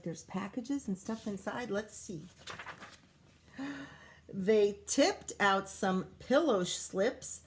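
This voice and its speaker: A woman teacher reading a picture book aloud to young children in an expressive, sing-song way, with coaxing asides and questions. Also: medium pitch. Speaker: primary school teacher reading aloud to pupils.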